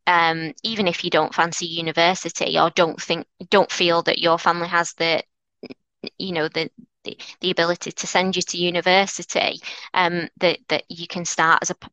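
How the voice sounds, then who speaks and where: A woman's voice: on the low side, level tone, normal speed, bright, forceful tone, dry recording. A woman with a strong Mancunian accent, answering interview questions in an earnest, encouraging way with frequent restarts. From North Manchester, England. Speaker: lawyer, interview guest.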